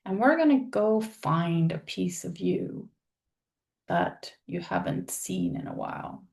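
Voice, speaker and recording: A woman leading a guided meditation, speaking in a soothing, unhurried manner with pauses between phrases. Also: fairly low pitch, expressive, vocal fry, darkish tone. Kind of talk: guided meditation, soft spoken visualization.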